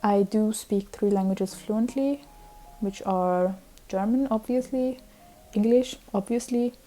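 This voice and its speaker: Chatty young woman, Hungarian native, very dark tone. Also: medium pitch, slow, soft background music. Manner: rambling, many fillers and restarts. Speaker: vlogger. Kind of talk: casual chat.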